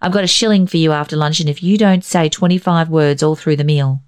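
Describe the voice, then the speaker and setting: A woman voicing a gruff, stern old grandfather in a storybook reading. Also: low-pitched, fairly quick, a little mellow, lightly acted. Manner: gruff, grim.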